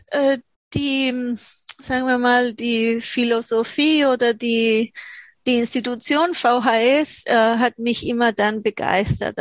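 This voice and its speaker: A woman's voice: a little high, no echo. A middle-aged woman from Argentina, a native Spanish speaker with an Argentine accent, answering interview questions conversationally and searching for words. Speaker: interview guest, language teacher.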